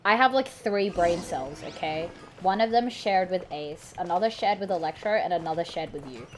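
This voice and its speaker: A woman's voice: fairly low pitch, moderate pace, slight background noise. A woman streamer chatting playfully and cracking jokes while playing a video game. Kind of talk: gameplay commentary.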